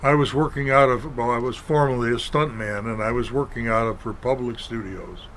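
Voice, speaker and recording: An older man raised in Kentucky tells stories as a guest, his voice at an ordinary middle pitch. He speaks plainly and without hurry, quoting people as he goes, with faint noise behind him. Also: steady tone, a bit bright. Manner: unhurried, plain storyteller, acts out quoted dialogue. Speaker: guest, former stuntman and filmmaker. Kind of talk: storytelling in an interview.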